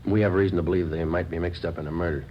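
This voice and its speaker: An American man playing a terse police sergeant who also narrates in a 1950s radio crime drama, deadpan and matter-of-fact. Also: deep voice, rapid-fire, a little hiss or hum, lightly acted. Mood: stern. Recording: dull old radio recording, loud music.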